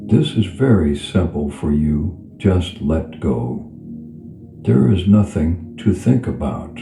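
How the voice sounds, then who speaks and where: Male meditation guide, very low register, slow soothing delivery with long pauses, roomy reverb. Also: very animated. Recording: reverberant voice over clear background music. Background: background music.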